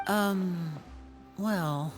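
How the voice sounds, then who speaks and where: A woman voicing a polite, smooth-talking young male stage magician in a video game, with a courteous, theatrical manner. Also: lowish voice, music in the background. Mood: hesitant. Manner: courteous, theatrical, measured hesitations. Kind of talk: animated video game voice acting.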